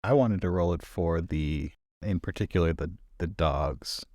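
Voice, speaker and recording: A man's voice: low voice, measured pace, a little mellow, no room sound, clean studio sound. A man talking casually as a player in a tabletop game, describing what his character does.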